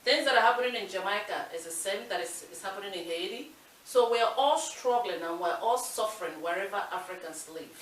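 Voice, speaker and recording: A woman's voice: medium pitch, lively intonation, a little fast, fairly bright tone. A woman from Nigeria with a Nigerian accent, co-hosting a talk show and making her points in an earnest, emphatic way. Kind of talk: casual discussion. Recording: echoey room with light hiss, mic distant.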